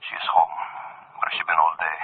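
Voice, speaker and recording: Jealous man's inner monologue: very low, flat, brooding, telephone-like vintage radio drama sound. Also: brisk. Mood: brooding, paranoid. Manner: hushed, clipped, brooding. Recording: muffled, hissy old radio, telephone-like.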